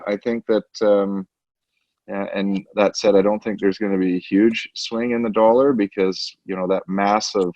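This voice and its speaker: A man giving unscripted market commentary as a portfolio manager, haltingly, repeating words as he thinks aloud on a conference call. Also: sits low, a little mellow. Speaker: portfolio manager, currency specialist. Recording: dull, roomy conference call line.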